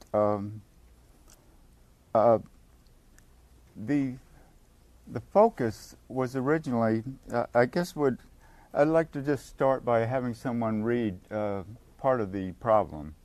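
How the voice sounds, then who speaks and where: An older man giving a casual workshop talk to a live group on a handheld mic, halting and often restarting his sentences. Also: medium pitch, darkish tone, no echo. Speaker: workshop speaker at a recovery meeting. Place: meeting room with an audience. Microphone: handheld microphone.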